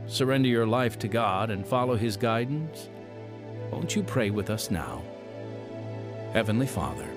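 An American man reads scripted ministry narration as a radio host. His pitch swings expressively through earnest, measured phrases, and music plays behind his voice. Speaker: radio show host and announcer.

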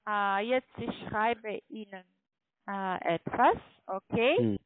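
A woman with a foreign accent speaking halting, non-native German on the phone, insistently repeating instructions as a call centre agent. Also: medium pitch, animated, no room sound. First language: not German. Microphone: telephone. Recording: tinny, narrow phone line.

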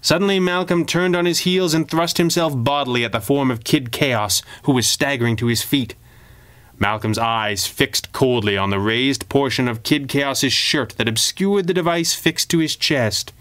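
A man with a clear, resonant voice narrates a pulp adventure tale. His delivery is theatrical and expressive, at a medium pitch, like a storyteller fully invested in the drama. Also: normal speed. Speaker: audiobook narrator.

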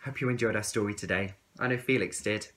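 A man in his thirties reading a storybook aloud to young children in an expressive, deliberate way. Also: on the low side.

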